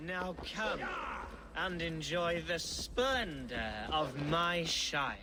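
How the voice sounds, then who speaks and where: A young Saxon nobleman with a high, very lively English voice. He talks slowly and floridly, an earnest, excitable, lovesick fool from a video game, with noisy ambience around him. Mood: eager, cheerful. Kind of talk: video game character acting.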